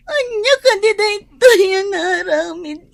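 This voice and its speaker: A woman playing a distraught, jilted young woman in an emotional radio drama, with loud, tearful, pleading delivery. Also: extremely high pitch, expressive, a little slow, vocal fry, heavily acted. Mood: tearful, despairing.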